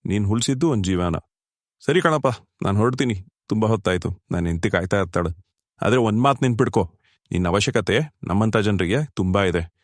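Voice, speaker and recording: Man acting kindly older husband, low voice. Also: no echo, studio quality, natural voice. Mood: kindly, encouraging. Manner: fatherly, earnest, colloquial. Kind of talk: dramatic dialogue in a cartoon short story.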